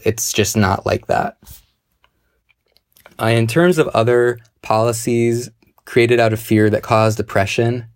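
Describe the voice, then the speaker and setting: A man from the United States leaving a prepared voicemail for a show, talking in a measured, analytical way with some hesitant restarts. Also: fairly low pitch, no echo. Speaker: listener calling in a voicemail. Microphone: phone voicemail.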